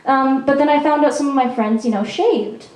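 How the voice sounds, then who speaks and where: A woman's voice: high-pitched, moderate pace, darkish tone, distant mic. A young woman from Peru performing a stand-up comedy set in a chatty, self-mocking way, with frequent ums. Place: small performance venue. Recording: echoey venue recorded from afar.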